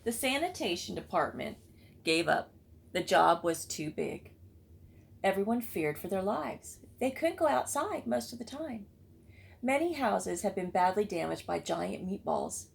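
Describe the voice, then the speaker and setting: Creaky-voiced woman reading a storybook aloud. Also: mid-range voice, unhurried. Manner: expressive, with chatty asides to listeners. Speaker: read-aloud book club reader. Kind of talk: children's storybook read-aloud.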